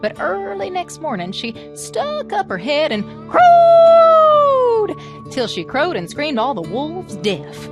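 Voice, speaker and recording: A woman telling folk tales as a presenter, with expressive, theatrical, emphatic phrasing. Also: very high voice, takes it slowly, over music. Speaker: storyteller and presenter. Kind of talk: animated storytelling narration of American folklore.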